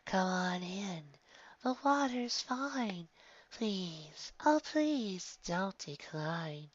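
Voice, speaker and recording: A narrator voicing eerie river-dwelling sea-pony creatures in a slow, haunting chant. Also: medium pitch, lively intonation, dry recording, pitched above the performer's own voice. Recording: dull lo-fi recording.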